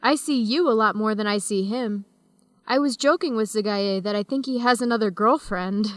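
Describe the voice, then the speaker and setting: An actress playing a friendly, teasing young American woman in an educational radio drama, speaking clearly and deliberately. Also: mid-range voice, no echo, lightly acted. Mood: playful, reassuring.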